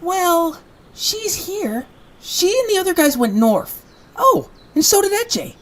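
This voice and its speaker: A woman's voice: very high-pitched, sing-song, takes it slowly, full character voice. A woman voicing a small, whiny, childlike tagalong in an audio drama, with an exclamatory delivery. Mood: matter-of-fact. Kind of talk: animated audio drama acting.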